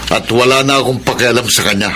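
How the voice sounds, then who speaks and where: A man's voice: medium pitch, quick pace, background noise, lightly acted. An actor playing a callous, philandering middle-aged father in a Filipino radio drama, brusque and contemptuous. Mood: scornful.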